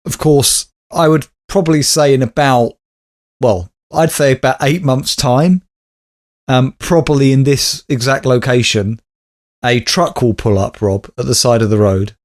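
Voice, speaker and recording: A man's voice: mid-range voice, a little slow. Wry, teasing male podcast host. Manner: wry, teasing, assertive sign-off. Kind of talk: comedic podcast chat.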